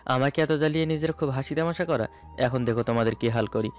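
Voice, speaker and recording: A man's voice: mid-range voice, fast talker. Man narrating a dramatic story over music. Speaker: narrator of a romantic audio story. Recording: music bed under the voice. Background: background music.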